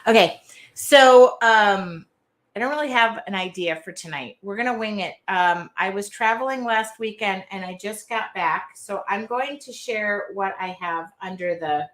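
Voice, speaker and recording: A woman with a nasal Midwestern accent hosting an art journaling live stream from her art studio, talking in a loud, chatty, self-deprecating way. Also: mid-range voice, measured pace. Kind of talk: casual live-stream chat.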